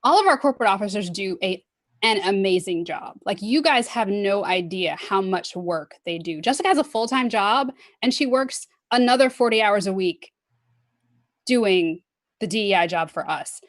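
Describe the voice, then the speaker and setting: A woman's voice: mid-range voice, expressive, moderate pace, no room sound, studio quality. A woman guest in a casual chat, gushing and chatty.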